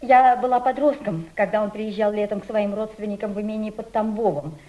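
An actress playing an impassioned, well-bred émigré woman journalist in a radio drama, speaking with emphatic, deliberate stage delivery. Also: mid-range voice, warm tone, close to an everyday voice. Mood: wistful. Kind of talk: theatrical radio drama acting. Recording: muffled old radio play recording.

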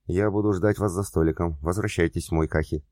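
Man voicing a flirty fake heiress, languid, very deep dark voice, dry audiobook sound. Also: steady tone, a little fast, dry recording, close to an everyday voice. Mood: coy.

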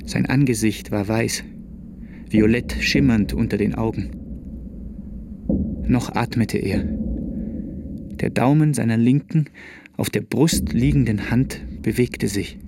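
A man narrates a literary radio play in a grave, deliberate and dramatic way. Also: medium pitch, noisy background.

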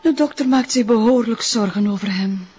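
A stern, discreet Flemish nun in charge of a convent in a detective drama. She speaks formally and carefully in a somewhat breathy, husky voice. The recording is a little muffled. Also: a little high, normal speed, dark tone, faint music behind. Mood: measured, confiding. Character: guarded, stern female convent director, a nun. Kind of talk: naturalistic detective drama dialogue.

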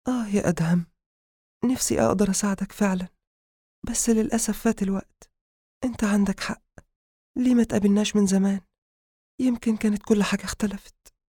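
A man voicing a tender, anxious young woman doctor with an Egyptian accent, in audiobook dialogue, soft-hearted and gently scolding. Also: high voice, rapid-fire, no echo, clean studio sound, voice pushed up. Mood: wistful, sad.

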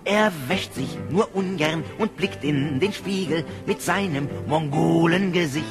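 A man's voice: on the high side, a little slow. A male singer-songwriter performing a satirical protest ballad to plucked acoustic guitar. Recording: archive song recording with guitar.

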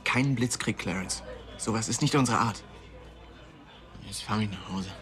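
An actor playing a bitter, weary young small-town drug dealer who narrates and talks in coarse slang in a radio drama. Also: male voice, fairly low pitch, normal speed, close to an everyday voice. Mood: bitter, tired. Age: young adult. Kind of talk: radio drama, first-person narration and dialogue. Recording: music behind the voice.

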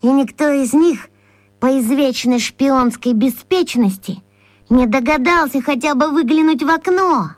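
An actress playing a small, suspicious schoolboy in a children's radio play, earnest, secretive and conspiratorial. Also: female voice, high-pitched, measured pace, dry recording. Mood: wary, conspiratorial.

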